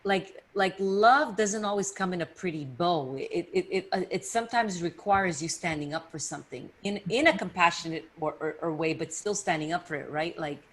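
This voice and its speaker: A woman's voice: fairly low pitch, normal speed, few pauses, a bit bright. A woman hosting a casual, heartfelt podcast conversation, reflective and full of restarts. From Canada or US, Italian family. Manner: reflective, many restarts and fillers. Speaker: podcast host.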